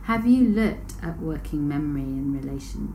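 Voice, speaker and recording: A woman's voice: low voice, animated, moderate pace, dark tone, rough recording. A woman interviewing a researcher, asking questions in an attentive, affirming way. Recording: audible background noise.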